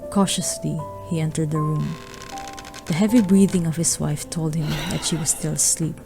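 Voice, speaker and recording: A woman's voice: low voice, steady tone, moderate pace, music in the background. A woman narrating a radio drama, reading a scripted story.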